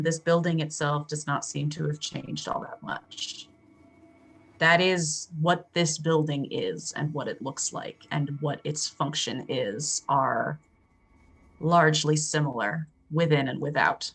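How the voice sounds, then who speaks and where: A woman improvises narration as a player in a tabletop game. Her voice is very low for a woman, and she talks very slowly, in a measured and deliberate manner. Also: creaky, a bit bright. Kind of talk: improvised third-person narration of her character.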